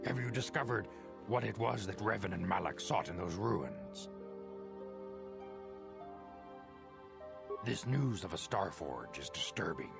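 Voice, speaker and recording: An actor playing a grave, stately elder Jedi master in a video game, with a gravelly voice and formal, solemn oratory. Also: male voice, deep voice, lively intonation, moderate pace. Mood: solemn, concerned. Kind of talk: video game cutscene dialogue. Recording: music behind the voice.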